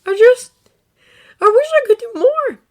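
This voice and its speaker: A woman voicing a distraught teenage boy, a hero student, crying and shouting through his anger. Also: extremely high pitch, fairly quick, pitched above the performer's own voice. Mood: tearful. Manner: tearful, breaking, shouting outbursts.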